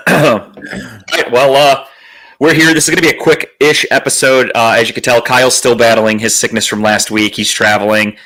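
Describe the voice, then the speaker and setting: A man hosting a podcast in casual chat, telling rambling, profane stories in a slightly raspy, worn, phlegmy voice. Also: mid-range voice, fairly even intonation, normal speed, pushed and bright, rough recording. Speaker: podcast host.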